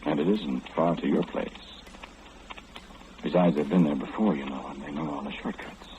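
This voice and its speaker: Sinister all-knowing confidant, very deep voice, insinuating, deliberate pauses, radio horror monologue, tinny vintage recording. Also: male voice, warm tone, slight background noise. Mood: ominous, insinuating. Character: sinister all-knowing man whispering dread warnings to listener.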